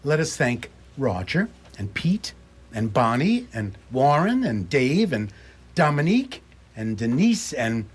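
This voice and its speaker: Animated, husky male radio announcer. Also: medium pitch, a little slow. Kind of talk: scripted radio announcing, theatrical recap.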